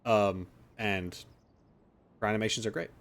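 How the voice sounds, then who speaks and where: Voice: mid-range voice, fairly even intonation, measured pace. A streamer giving casual, reactive game commentary in a blunt, sarcastic way, swearing and talking back at the characters. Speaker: gaming streamer.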